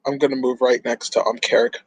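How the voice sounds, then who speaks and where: A man's voice: medium pitch, little pitch movement, fast talker, breathy edge, no room sound, low-quality recording. A man chatting casually as a player in a tabletop role-playing game. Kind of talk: casual chat.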